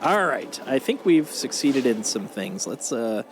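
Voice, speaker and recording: Very animated man streaming over loud noise. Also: medium pitch, a little slow. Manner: jokey, playful exclamations. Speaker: game streamer. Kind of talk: casual live gameplay commentary. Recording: very noisy, game audio behind.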